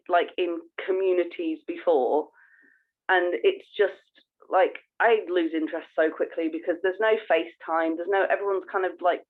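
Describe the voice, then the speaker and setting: A woman co-hosting a podcast, chatting casually in an earnest, effusive manner. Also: on the low side. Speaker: podcast co-host. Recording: tinny phone-like call audio.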